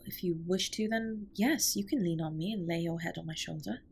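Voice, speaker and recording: A woman's voice: lowish voice, a little fast, creaky, darkish tone, slight background noise. A woman playing a formal, reserved but tender alien spaceship captain in a scripted comfort audio roleplay, speaking with precise, stilted politeness. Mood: earnest, shy.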